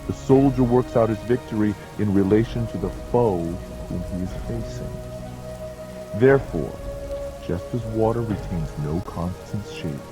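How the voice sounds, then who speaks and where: A man reads as an audiobook narrator in a measured, solemn way, phrase by phrase, in a dramatized scripted style. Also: fairly low pitch, rough recording. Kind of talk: dramatized scripted narration of an ancient military treatise. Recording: music and rain behind the voice. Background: background music with falling rain.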